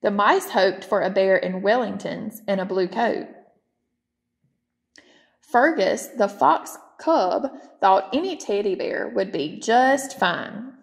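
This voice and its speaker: Slow-paced woman reading a children's story. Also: medium pitch, lively intonation, gravelly. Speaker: storytime reader. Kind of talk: children's storybook read-aloud.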